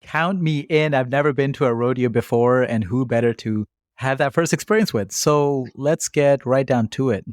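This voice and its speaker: A man's voice: mid-range voice, normal speed, dry recording. A man with a mid-range voice co-hosts with eager enthusiasm. His voice is dry and close, with no room sound around it. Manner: enthusiastic, welcoming. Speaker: podcast co-host.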